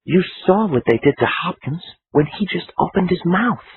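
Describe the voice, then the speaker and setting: A man's voice: high-pitched, animated, moderate pace, slightly rough, no room sound, voice pushed up, full character voice. Male reader plays a doubtful hostage, voice raised and uneasy, tinny phone-like sound.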